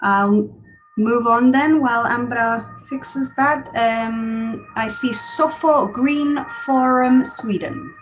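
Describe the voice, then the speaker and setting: Slow, higher-voiced woman moderating. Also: faint music behind. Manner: slow, calling on people in turn. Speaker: session moderator. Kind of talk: moderating a video call. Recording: dull lo-fi call audio.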